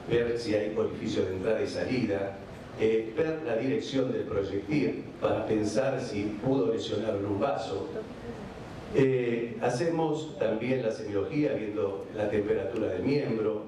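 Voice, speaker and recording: A man from Argentina with an Argentine accent, giving a measured medical conference lecture with frequent hesitation fillers. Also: fairly low pitch, dark tone, distant mic. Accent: Argentine Spanish. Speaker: vascular surgeon giving a conference talk. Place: large conference hall. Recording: echoey hall recording from afar, background noise.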